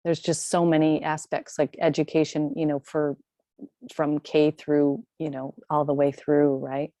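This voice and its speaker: A woman's voice: low-pitched, little pitch movement, a little slow, warm tone, no echo. A woman in an adult faith class, asking a long question in a rambling way and searching for her words. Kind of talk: group discussion, asking a question.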